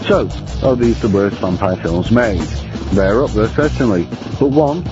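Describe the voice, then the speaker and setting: A man's voice: fairly low pitch, expressive, measured pace. Wry male reviewer over prominent music. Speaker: film review segment presenter. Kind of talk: scripted review narration. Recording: dull, lo-fi radio-style sound. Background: prominent guitar music.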